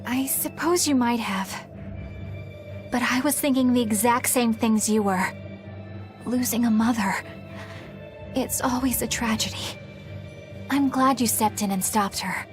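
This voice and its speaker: A woman's voice: fairly high pitch, unhurried, pauses often, faint music behind, lightly acted. A woman playing an earnest, conscientious young female exorcist in a video game. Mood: gentle.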